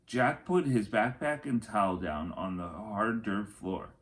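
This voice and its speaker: A man reading a children's chapter book aloud as an expressive storyteller, with deliberate, dramatic phrasing. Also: on the low side.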